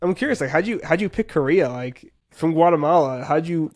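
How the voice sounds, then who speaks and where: A man's voice: a little high, animated, fairly quick. A man in his thirties hosting an interview, friendly, curious and encouraging with his guest. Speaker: podcast host. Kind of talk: casual interview chat.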